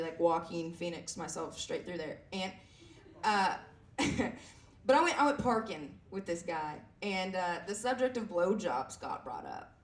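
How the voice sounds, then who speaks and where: A woman in her twenties with a raspy voice, performing a self-deprecating stand-up set with lots of fillers and halting restarts. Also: lowish voice. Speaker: stand-up comedian. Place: comedy club stage. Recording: echoey room heard from a distance.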